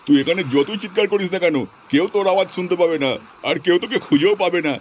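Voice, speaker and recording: A man playing a sly, greedy kidnapper who mutters to himself in low, fast asides. Faint outdoor ambience sits behind his voice. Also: a little hiss or hum, natural voice. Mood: gloating. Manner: gloating asides, whiny begging when caught. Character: sly scheming male kidnapper and thief. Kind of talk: amateur home-video drama.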